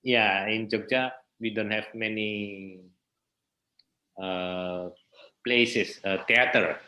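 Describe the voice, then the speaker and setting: A man with an Indonesian accent explaining things in an interview, halting and searching for his words. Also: fairly low pitch, steady tone, sharp tone, poor audio. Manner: halting, searching for words in non-native English. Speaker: interview guest, theatre researcher and festival director.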